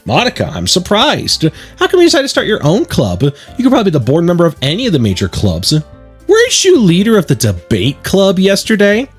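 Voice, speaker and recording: A man's voice: high voice, huge pitch swings, brisk, husky, faint music behind, voice pushed up, exaggerated voice. A man voicing a curious, slightly unsure teenage schoolboy in a visual novel, casual and questioning. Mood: surprised. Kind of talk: reading visual novel dialogue and inner thoughts.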